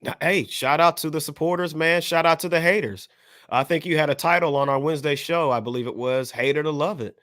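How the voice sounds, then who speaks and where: A man's voice: a little high, a little fast, fairly bright tone, dry recording, studio quality. Casual slangy man co-hosting a podcast. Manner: slangy, repeats phrases for emphasis. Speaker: podcast co-host.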